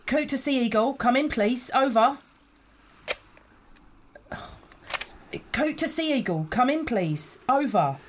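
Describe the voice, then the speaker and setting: A woman's voice: on the high side, animated, normal speed, slight background noise, natural voice. Woman playing a bossy, sarcastic smuggler. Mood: tense. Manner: sardonic, curt, domineering. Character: bossy, sarcastic female gun smuggler, hard and scornful. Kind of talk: audio drama acting.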